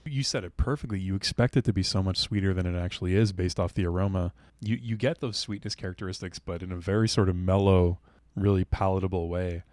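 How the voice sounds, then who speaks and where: Man, very deep voice, dry close sound, effusive, enthusiastic tasting chat. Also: steady tone, fast, hardly any pauses, darkish tone, no room sound. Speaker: beer reviewer, co-host.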